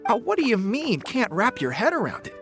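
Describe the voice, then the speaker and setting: Casting: pompous, bumbling storybook critter for a game; swooping, excitable, theatrical delivery over music. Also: male voice, extremely high pitch, fairly quick, full character voice. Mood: indignant. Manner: theatrical, self-important, excitable. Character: bumbling, boastful male paper forest creature, theatrical storyteller. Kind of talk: animated video game voice acting.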